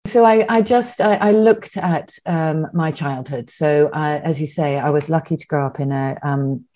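A woman's voice: sits low, normal speed, warm tone. A woman in her sixties, a podcast guest who talks about her past in a reflective way, with many restarts and ums. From England. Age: 60s. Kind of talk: conversational interview.

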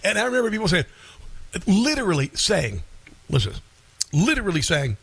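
Animated, high-voiced talk radio host man. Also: moderate pace, creaky, slightly rough, dry recording. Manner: animated, indignant, scoffing. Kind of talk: opinionated talk radio monologue.

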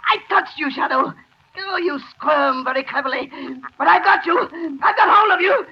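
An actor playing a crazed, vengeful poisoner in a 1930s radio thriller, gloating and ranting. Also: male voice, very high voice, expressive, normal speed, creaky, exaggerated voice. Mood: enraged. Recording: scratchy muffled 1930s radio recording.

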